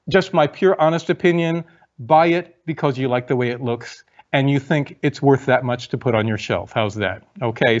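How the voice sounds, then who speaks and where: A man's voice: fairly high pitch, lo-fi sound. A man giving an online lecture and answering viewer questions, chatty, reading them aloud with frequent ums.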